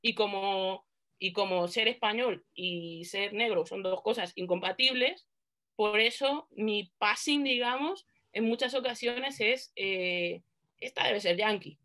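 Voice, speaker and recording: A woman in her thirties, a panel guest, talking animatedly on a video call from a dim room at home, with some fillers and restarts. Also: mid-range voice. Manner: animated, gestures while talking, halting with fillers. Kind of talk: casual chat on a video call. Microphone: laptop webcam on a video call.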